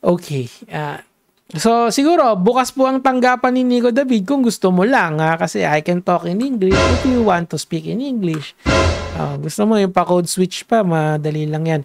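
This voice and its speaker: A man's voice: high voice, expressive, moderate pace, creaky, soft background music. A man with a Filipino accent doing casual reaction vlog commentary, switching between Tagalog and English in a rambling, lecturing way. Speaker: online commentator.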